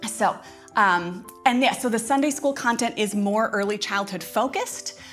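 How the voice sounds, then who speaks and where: A woman's voice: mid-range voice, measured pace, a bit bright, soft background music. A woman hosting a casual live-stream update for members, talking in a chatty, informal way. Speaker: host, homeschool curriculum creator.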